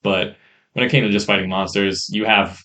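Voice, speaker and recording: A man co-hosting a podcast, reviewing games in a casual, enthusiastic ramble on a rough-sounding mic. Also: lowish voice, steady tone, a little fast, bright, forceful tone. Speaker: podcast co-host. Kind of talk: casual chat, game review.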